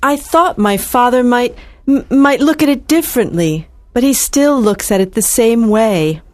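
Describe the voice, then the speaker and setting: An actress playing a timid, lovesick young heiress in a dramatized audiobook, hesitant and pleading. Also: female voice, on the high side, lively intonation, unhurried, a little mellow. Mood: anxious, pleading.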